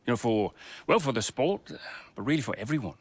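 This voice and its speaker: A man playing an earnest racing team principal and mentor, speaking in a measured, thoughtful, hesitant way. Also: mid-range voice, very animated, breathy edge, no room sound. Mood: reflective. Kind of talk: documentary interview and speech.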